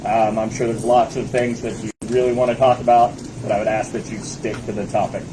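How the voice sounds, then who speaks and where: A man with a loud voice moderating a candidate forum, giving firm, brisk instructions. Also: medium pitch, little pitch movement. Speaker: debate moderator. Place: small meeting room. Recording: noisy room.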